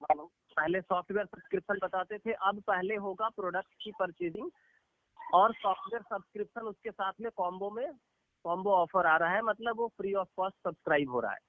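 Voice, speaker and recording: A man's voice: sits high, fairly quick, dry recording. A man giving a formal, explanatory business briefing as a company executive over a phone call, mixing in English business terms. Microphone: telephone. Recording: narrow, tinny phone call recording.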